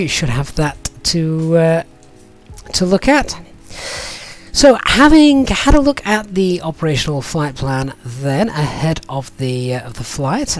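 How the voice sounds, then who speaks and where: A middle-aged man with a slightly croaky, cold-affected voice, hosting a livestream in a chatty, hesitant, casual way. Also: medium pitch. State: has a cold. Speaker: flight simulator streamer and host.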